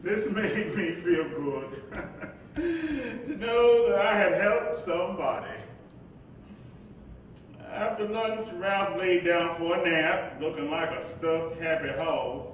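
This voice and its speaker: A man acts out a preacher's spirit in a stage play, looking back fondly and wryly. His voice is high and he takes his time over every phrase. It rings heavily around an echoey hall. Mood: fond, amused. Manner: slow, savoring storyteller delivery. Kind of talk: staged reading monologue. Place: hall with microphone on stand at lectern. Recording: echoey hall, distant, faint rumbling noise.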